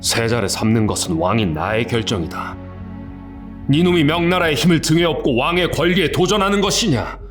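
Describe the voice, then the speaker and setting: An actor playing an aging king and father, commanding and quick to anger, in an audio drama. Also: fairly high pitch, animated, soft background music, lightly acted. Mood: angry. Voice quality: commanding, clipped royal speech.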